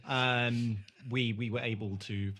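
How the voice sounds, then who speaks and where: A man's voice: medium pitch, steady tone, takes it slowly, fairly bright tone. A man hosting a podcast, chatting casually as a recap is talked through. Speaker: podcast host.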